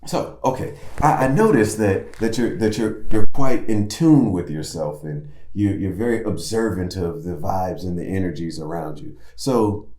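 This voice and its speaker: A man hosting a playful comedic interview, speaking in theatrical, mock-formal phrases with drawn-out hums. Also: low voice, dark tone, echoey room. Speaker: talk show host.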